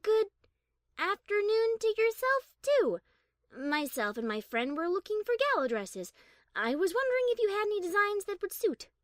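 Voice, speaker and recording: A very high, lively female voice for a refined young pony of high class acted by a woman. She speaks formally and politely in a dry-sounding storybook recording, with proper diction and a melodic swing. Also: moderate pace, no echo, heavily acted. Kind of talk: fan fiction audio drama character acting.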